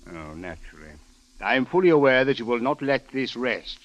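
Actor as stern, sardonic police official, dry sound. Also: male voice, medium pitch, very animated, fairly quick, dry recording, natural voice. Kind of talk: 1940s radio drama acting. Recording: dry, muffled old radio recording.

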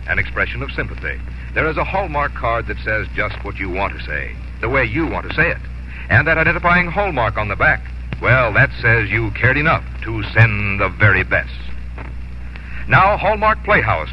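A man's voice: mid-range voice, a little hiss or hum. An American man announcing in polished, scripted 1950s radio style. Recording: dull old radio broadcast, music behind.

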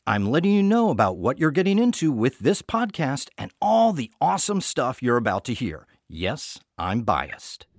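A man's voice: medium pitch, huge pitch swings, normal speed, few pauses, no room sound, studio quality. A man hosting a podcast in a chatty scripted welcome, with wry, playful asides. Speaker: artistic director and podcast host.

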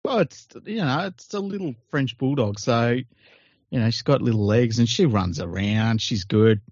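A man from Western Sydney with an Australian accent, co-hosting a casual sports podcast, blunt and quick to swear and laugh at his own jokes. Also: mid-range voice, a little mellow, dry recording, dull audio. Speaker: podcast co-host.

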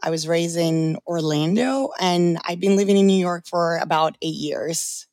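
Female startup founder from Colombia, measured and friendly, lowish pitch, dry sound. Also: a little mellow, dry recording. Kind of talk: podcast interview.

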